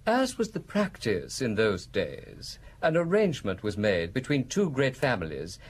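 Discreet aristocrat, formal, precise, courteous, radio drama, varied mid-range pitch. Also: male voice, wildly swooping pitch, measured pace, a little hiss or hum. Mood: reserved.

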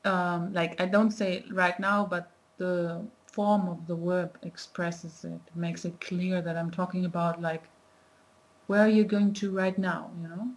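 A woman's voice: fairly low pitch, flat delivery, a little slow, low-quality recording. A young woman in her twenties giving a casual language lesson to a webcam in a small room, talking in a chatty, thinking-aloud way with many fillers. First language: not Nepali. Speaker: language teacher. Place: small indoor room, plain wall. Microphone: webcam at desk distance.

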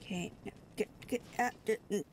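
A woman's voice: medium pitch, a little fast, darkish tone, a little hiss or hum. Stuffy young woman rambling over a game. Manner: rambling, sleepy, many fillers. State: stuffy from a cold. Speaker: gaming streamer. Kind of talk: casual let's-play commentary.

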